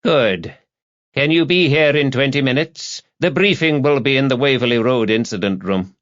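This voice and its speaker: A man voicing a brisk, commanding male chief inspector in an audiobook, with a clipped, official manner. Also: mid-range voice, a bit bright, dull audio, pitched above the performer's own voice, lightly acted. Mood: brisk, demanding.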